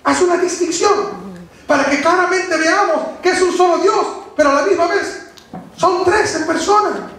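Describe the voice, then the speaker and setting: A man's voice: very high voice, normal speed, fairly bright tone, slight background noise. A man preaching a doctrinal sermon to a congregation, emphatic and didactic, repeating phrases for stress and asking rhetorical questions. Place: echoey room, preaching before a congregation. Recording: very echoey, reverberant room.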